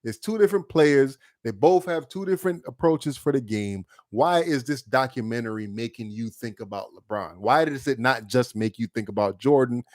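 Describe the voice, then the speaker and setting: A man co-hosting a podcast in casual chat, talking in an animated, emphatic way with plenty of swearing. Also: mid-range voice, normal speed, hardly any pauses, dry recording, studio quality. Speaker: podcast co-host.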